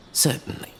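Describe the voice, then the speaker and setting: A man playing a learned old archivist who tells a fantasy legend in a grave, measured, theatrical way. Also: on the high side, fairly bright tone, a little hiss or hum, heavily acted. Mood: kindly, wise. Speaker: narrator. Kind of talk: dramatic fantasy audio drama storytelling.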